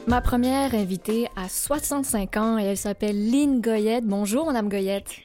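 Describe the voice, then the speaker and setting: A woman hosting a radio interview show, speaking gently with many hesitations. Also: fairly high pitch, normal speed, over music.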